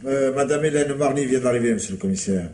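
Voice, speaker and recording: An actor playing a dutiful police subordinate in a radio mystery, reporting in clipped, deferential phrases. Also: male voice, medium pitch, fast talker, mellow, natural voice. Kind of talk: French radio mystery play acting.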